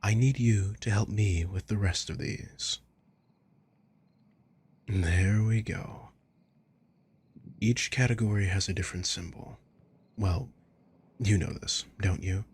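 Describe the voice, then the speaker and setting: A man playing a sadistic, controlling villain in an ASMR roleplay, breathy and husky, speaking with slow, condescending, taunting deliberation. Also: on the low side, darkish tone, heavily acted. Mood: condescending, controlled. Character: sadistic, controlling male villain toying with a captive.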